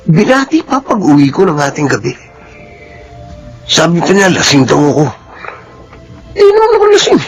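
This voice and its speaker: A spoiled Filipino man in his twenties for radio drama. His voice is high and raspy, swinging widely in pitch, with a brash, petulant, hot-tempered delivery. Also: huge pitch swings, normal speed, pauses often, soft background music, full character voice, rough recording. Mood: resentful, scheming. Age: 20s. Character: spoiled, hot-tempered drug-using young male employer.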